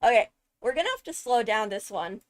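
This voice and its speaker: A woman giving casual, analytical commentary on a video, animated and emphatic on key words. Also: a little high, a little fast. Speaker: video host, game lore commentator. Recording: background music behind the voice. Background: music.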